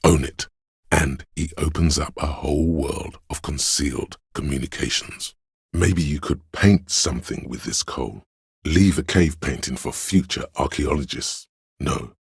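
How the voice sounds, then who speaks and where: A man voicing a pompous, opinionated inner voice of artistic imagination in a video game, narrating theatrically and deliberately in a very deep, gravelly, husky voice. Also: no echo, studio quality, exaggerated voice. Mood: coaxing, urging. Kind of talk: dramatic second-person game narration.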